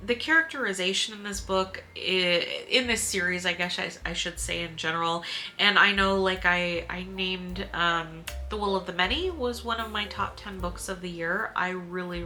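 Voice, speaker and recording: A woman with a bright, crisp-edged voice talks through a book review in a casual vlog style. She is informal and a little hesitant, circling back to correct herself and dropping the odd mild swear. Faint music sits under her voice. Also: fairly low pitch. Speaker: book reviewer and video host.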